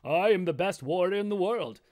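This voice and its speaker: A man's voice: high-pitched, expressive, moderate pace, a little mellow, pitched above the performer's own voice. A man voicing a proud, boastful warrior.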